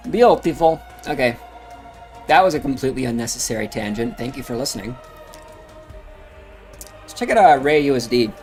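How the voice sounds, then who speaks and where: A man's voice: mid-range voice, expressive, moderate pace, pauses often, a little mellow. A man casually talking through crypto charts on a livestream, rambling with frequent fillers. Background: music playing.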